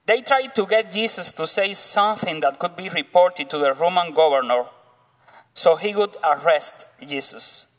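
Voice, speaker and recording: A man in his thirties with a Cuban accent, preaching as a guest on a church stage with a slow, careful delivery. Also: high-pitched, lively intonation, a bit bright. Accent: Cuban Spanish. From Cuba. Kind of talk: sermon. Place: church stage, large hall. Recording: long reverb of a church hall.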